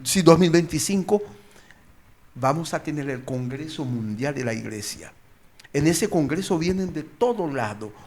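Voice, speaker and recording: Higher-voiced male pastor, informal teacher. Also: expressive, normal speed, a little mellow. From born and raised outside Mexico, living in Mexico. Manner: repeats phrases, poses questions to listeners. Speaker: pastor, guest explainer. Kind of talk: informal teaching in a group discussion.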